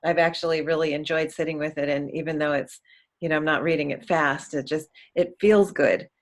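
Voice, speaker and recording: An audience member speaking casually, thinking aloud and halting while searching for words. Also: mid-range voice, fairly even intonation. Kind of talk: casual conversation.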